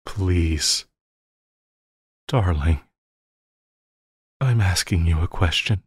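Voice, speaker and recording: A man playing a possessive supervillain lover in an intimate audio roleplay, coaxing and pleading with a halting delivery and quiet menace. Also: low-pitched, breathy edge, no echo, clean studio sound, full character voice.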